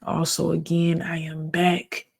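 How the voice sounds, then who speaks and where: Soft-spoken, slow, gravelly host voice. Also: mid-range voice, completely flat delivery. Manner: soft-spoken, calls it an ASMR voice; chatty, speaks directly to viewers. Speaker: reaction video host. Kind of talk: casual reaction commentary.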